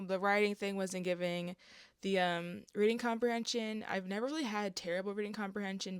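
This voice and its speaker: A young woman with a raspy, gravelly voice talks loosely and candidly, with plenty of "um"s, "like"s and swearing. Her hoarseness sits over a smooth, resonant tone, and the sound is close and dry with no room around it. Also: medium pitch, no room sound. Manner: casual and candid, with frequent fillers and swearing. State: hoarse from an allergic reaction. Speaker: vlogger. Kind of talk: casual chat to camera.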